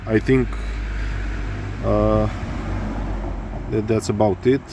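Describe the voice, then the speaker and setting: Romanian guy explaining bike repair in english, really slow, lots of uhs, bit of motor noise behind. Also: lowish voice, little pitch movement, gravelly. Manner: halting, many fillers, searching for words. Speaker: motorcycle tutorial maker. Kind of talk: hesitant spoken tutorial.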